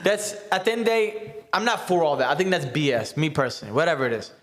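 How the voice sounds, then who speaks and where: Higher-pitched American man, earnest podcast host. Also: big pitch swings, quick pace, fairly bright tone. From United States. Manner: earnest, emphatic, repeatedly checks with "right?". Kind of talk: casual round-table podcast talk.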